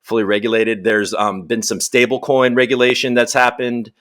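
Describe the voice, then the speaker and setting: A man hosting a podcast, speaking from home over a video call. His voice is mid-pitched, and he sounds upbeat and chatty as he steers a casual interview. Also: level tone, moderate pace, a bit bright. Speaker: podcast host. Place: home in Los Angeles.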